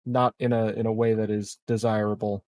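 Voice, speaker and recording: A man's voice: on the low side, level tone, brisk, a little mellow, no echo, studio quality. A man playing a tabletop roleplaying game, improvising casual in-character dialogue, hesitant, repeating words and trailing off.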